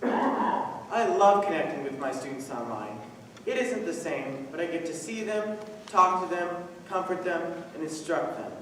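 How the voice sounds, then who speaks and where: A fairly high male voice carries from far off in a hall an actor as a caring teacher. He is earnest and deliberate, quietly worried, delivering a stage monologue. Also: lively intonation. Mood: wistful, concerned. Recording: very echoey hall, distant mic, faint noise.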